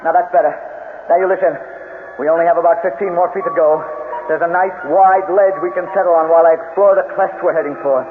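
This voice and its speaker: A man's voice: fairly high pitch, fairly even intonation, fast talker, lightly acted. Male actor playing brave climbing leader, firm and commanding, vintage radio drama, narrow tinny sound, hissy noise. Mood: urgent, stern. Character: brave, steady, take-charge young man leading climbers. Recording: tinny, noisy old radio broadcast.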